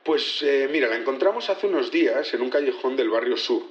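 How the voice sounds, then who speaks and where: A man's voice: medium pitch, animated, brisk, reverberant, highs cut off, close to an everyday voice. An actor playing an earnest male security chief testifying in an audio drama, polite and explanatory with slight hesitations. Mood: hesitant, cooperative. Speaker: witness in a trial. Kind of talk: naturalistic audio drama acting.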